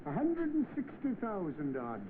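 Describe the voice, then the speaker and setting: Scheming crook, high swooping voice, suave, bossy, menacing, 1930s film acting, noisy thin soundtrack. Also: male voice, huge pitch swings, moderate pace, narrow, tinny audio. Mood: sly, scheming. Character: smug, scheming male swindler, bossy and menacing.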